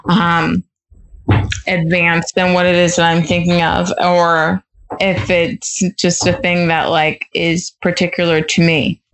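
A woman's voice: on the low side, level tone, slow pace, fairly bright tone, poor audio. A woman in her twenties, a podcast guest, talking in a hesitant way with many fillers as she searches for words. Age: 20s. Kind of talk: casual conversation.

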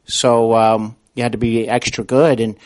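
A man with an average-pitched voice hosts a podcast interview. He speaks casually, feeling his way into questions in a slightly halting manner. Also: little pitch movement, darkish tone.

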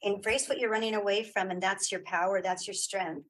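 Woman, slightly husky voice, mid-pitched, informal, encouraging, emphatic, podcast guest. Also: fairly even intonation, a little fast, a bit bright, rough recording. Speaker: interview guest, podcaster and audio drama creator. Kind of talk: casual interview chat.

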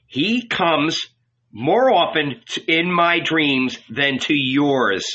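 Man playing a sullen, forceful disciple. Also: a little high, animated, slow pace, dull audio, voice pushed up, heavily acted. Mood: boastful. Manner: sullen, blustering. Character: sullen, hot-blooded male disciple, boastful.

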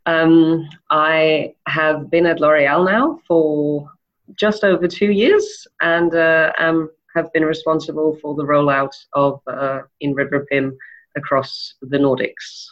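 A woman from Denmark giving thoughtful interview answers, pausing often with ums and uhs. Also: deep voice, steady tone, dull audio. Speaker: interview guest, e-commerce manager.

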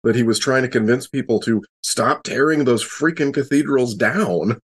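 A man's voice: mid-range voice, normal speed, slightly rough. A man talking casually on a podcast panel, explaining things in a chatty way.

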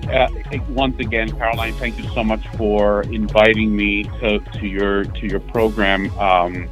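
A man in his forties from the Dominican Republic, a guest talking haltingly with many fillers and restarts. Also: on the low side, a bit bright. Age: 40s. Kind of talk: candid conversational interview. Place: parked outside a sports venue. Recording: dull lo-fi remote call.

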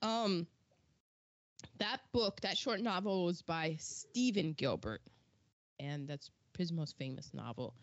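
Brief: chatty woman podcast co-host, reads out credits with quick asides, dry and a little dull-sounding. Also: on the low side, expressive, no echo. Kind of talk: casual chat reading film credits.

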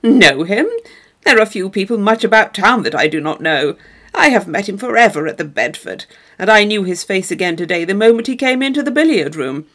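A woman voicing a boastful young gentleman who brags and blusters. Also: mid-range voice, lively intonation, fairly quick. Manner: bragging, blustering. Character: boastful young gentleman, loud and full of himself.

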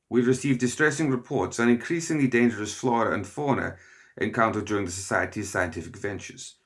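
Man voicing a deferential male lawyer. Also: fairly low pitch. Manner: formal, deferential. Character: nervous, formal male lawyer.

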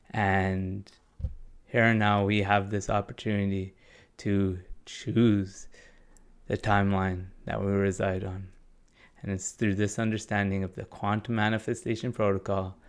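A man hosting a spiritual podcast, speaking in a measured, earnest way with drawn-out phrases. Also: lowish voice. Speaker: podcast host. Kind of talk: spiritual podcast intro and interview.

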